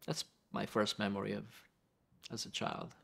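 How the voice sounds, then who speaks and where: Man, middle-aged, Romanian accent, low-pitched, earnest, reflective, repeats words, interview guest. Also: flat delivery, moderate pace, vocal fry, a bit bright, slightly rough. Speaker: guest, pastor and professor. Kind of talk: storytelling interview.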